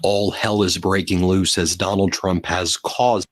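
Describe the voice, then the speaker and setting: A man's voice: sits low, level tone, unhurried, dry recording. A man delivering emphatic, scripted political news commentary in a blunt way.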